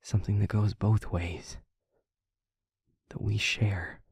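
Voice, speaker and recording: A man playing a gentle, devoted vampire boyfriend in an intimate audio roleplay, speaking tenderly and earnestly in a husky half-whisper. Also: on the low side, flat delivery, dry recording, studio quality, full character voice. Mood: earnest, loving. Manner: slow, halting, tender, earnest delivery. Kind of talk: intimate audio roleplay, ASMR-like scripted acting.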